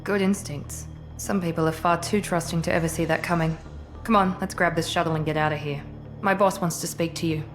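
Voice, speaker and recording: A cold, self-assured woman officer, voiced by an actress in a sci-fi video game, her voice a little lower than most women's. She talks in dry, clipped lines with a faint condescension. A musical score plays under the game audio. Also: fairly even intonation, a little fast, soft background music, natural voice. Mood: cool. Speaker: Cerberus project director.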